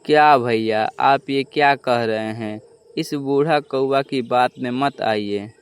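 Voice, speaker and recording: Cartoon youngest crow , male actor: soft-hearted, anxious, earnest pleader, smooth tone, unhurried. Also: medium pitch, lightly acted. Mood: alarmed. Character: kind, worried youngest crow brother. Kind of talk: Hindi children's cartoon dialogue.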